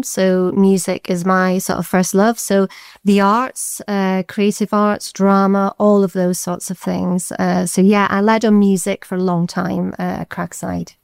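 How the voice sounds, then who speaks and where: Scottish woman with a smooth voice. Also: medium pitch, fairly even intonation, normal speed, no room sound, clean studio sound. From Scotland. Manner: chatty, warm-hearted, with many fillers. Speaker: deputy head teacher. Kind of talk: interview answers.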